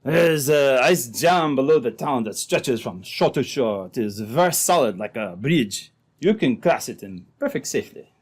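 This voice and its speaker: Man acting nervous, scheming uncle with swooping pitch. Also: lively intonation, moderate pace, slightly rough. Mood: placating, calculating. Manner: hesitant, placating, reasoning. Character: scheming, nervous embezzler uncle, cornered but calculating. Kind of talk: old-time radio drama acting.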